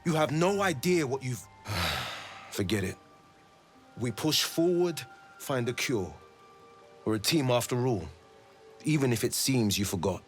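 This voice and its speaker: A man's voice: mid-range voice, sing-song, unhurried, long pauses, soft background music. A man playing an aggrieved but resolute adventuring companion in a video game, speaking dramatically and with reproach. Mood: resigned, resolute. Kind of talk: video game character acting.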